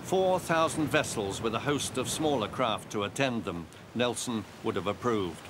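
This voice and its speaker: British newsman over clear background noise. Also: mid-range voice, lively intonation, normal speed. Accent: British English. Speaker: television news reporter. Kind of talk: scripted news report narration.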